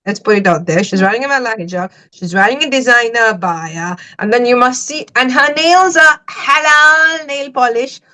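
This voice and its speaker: A chatty woman from Sri Lanka hosts a casual podcast conversation. Her voice sits a little high, and she keeps dropping "like" and "y'all" into emphatic asides. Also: moderate pace, hardly any pauses, bright, forceful tone. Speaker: podcast host.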